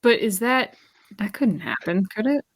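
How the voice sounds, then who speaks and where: A woman's voice: medium pitch, lively intonation, moderate pace, husky. A woman chatting casually and asking in-character questions as a player in a tabletop role-playing game.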